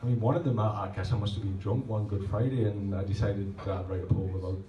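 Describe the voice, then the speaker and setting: A man's voice: lowish voice, fairly even intonation, fast talker, lo-fi sound, slight background noise. Man. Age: 30s. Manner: rhythmic, rhymed delivery between rambling, self-deprecating asides. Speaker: spoken word poet. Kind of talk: poetry reading with chatty asides. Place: pub function room at a poetry night. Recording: roomy, distant live recording, faint ambience.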